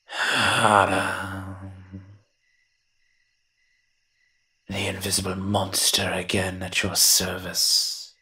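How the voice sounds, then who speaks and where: Grumbling low-voiced monster, acted by a man. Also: completely flat delivery, slow, sharp tone, exaggerated voice. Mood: playful. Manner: grumbling, sardonic, dry. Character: grumbling, reluctant invisible male monster, secretly soft-hearted. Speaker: voice actor in an audio roleplay. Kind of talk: scripted character monologue, audio roleplay.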